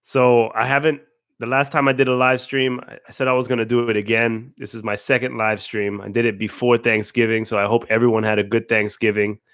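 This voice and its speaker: Raspy man chatting on a livestream. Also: mid-range voice, little pitch movement, brisk, fairly bright tone, dry recording, studio quality. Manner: chatty, greets viewers by name, restarts words. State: hoarse from a cold. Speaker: livestream host. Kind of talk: casual livestream chat.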